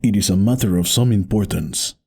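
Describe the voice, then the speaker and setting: Man acting a dark, husky, stern masked hero. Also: lowish voice, a little fast. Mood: polite, formal. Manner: grave, formal, heroic. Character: noble, stern masked champion luchador, a male hero. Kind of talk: audio drama acting.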